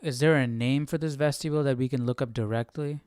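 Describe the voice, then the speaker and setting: A man's voice: mid-range voice, fairly even intonation, fast, darkish tone, clear, resonant tone, no echo, clean studio sound. A young man hosting a casual study livestream, musing aloud as if to himself. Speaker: livestream host. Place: small indoor room.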